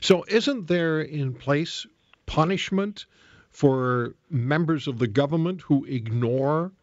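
A man from Switzerland hosting a radio talk show, speaking deliberately and emphatically into a close microphone as he explains and asks questions. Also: on the high side, no room sound, highs cut off. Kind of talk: radio monologue and interview.

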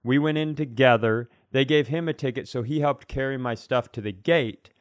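A man's voice: mid-range voice, normal speed, no room sound. Casual man chatting candidly, close dry sound. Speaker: podcast co-host and comedian. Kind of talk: casual chat, comic storytelling.

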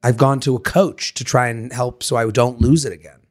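A man co-hosting a podcast in casual, joking chat, hoarse as his voice comes back. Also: medium pitch, a little fast. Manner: joking, animated, self-mocking. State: hoarse, voice recovering. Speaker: podcast co-host.

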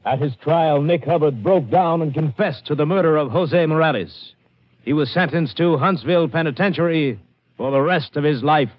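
A man's voice: on the high side, normal speed, faint music behind. A man giving formal, declarative scripted narration as a 1950s radio announcer. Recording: dull old radio recording.

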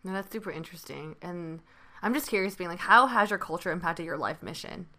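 A woman's voice: on the low side, a little fast, pushed and bright. A woman hosting a podcast who asks casual interview questions and murmurs agreement. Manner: brief affirming murmurs. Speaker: podcast host.

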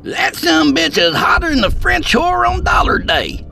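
A man's voice: extremely high pitch, wildly swooping pitch, unhurried, gravelly, pitched above the performer's own voice, heavily acted. A man voicing a rowdy, crude male country buddy who hollers his lines. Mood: hollering. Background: music.

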